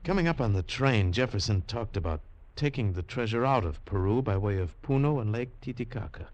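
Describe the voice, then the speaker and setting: A man's voice: lowish voice, lively intonation, a little fast, a little mellow, no room sound, lightly acted. An actor playing an earnest, hard-boiled adventurer who narrates his own tale in a 1940s radio drama. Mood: brisk narration. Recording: vintage 1940s radio recording.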